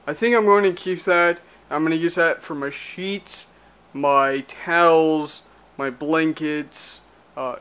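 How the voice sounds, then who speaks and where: A man's voice: medium pitch, a bit bright, slight background noise. A young man vlogging casually from a small cluttered spare bedroom, rambling with lots of fillers, speaking into a handheld camera held at arm's length as he walks around. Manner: rambling, filler-heavy, loose and chatty. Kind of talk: casual vlog chat. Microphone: handheld camera at arm's length, moving around.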